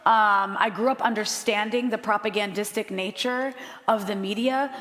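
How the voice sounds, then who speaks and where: A woman's voice: medium pitch, fairly even intonation, moderate pace, a bit bright, long reverb tail. A woman journalist speaking on a live panel, passionate and blunt, with frequent "um" fillers. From United States. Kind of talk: impassioned panel talk. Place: live panel in a room with an audience.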